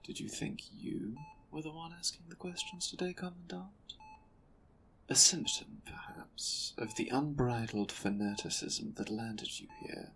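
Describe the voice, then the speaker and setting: An imperious board leader, acted by a man, condescending and menacing, with very swooping, animated pitch. He speaks slowly and deliberately, as in an audio drama, over a faint bed of ambience. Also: vocal fry, a little hiss or hum, heavily acted, low-quality recording. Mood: scornful, sardonic. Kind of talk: audio drama roleplay acting.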